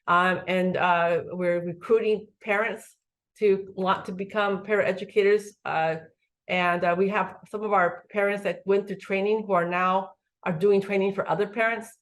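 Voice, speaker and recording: A woman's voice: medium pitch, steady tone, normal speed. A middle-aged woman, a school superintendent, giving a webinar presentation in an earnest way, with many fillers and restarts.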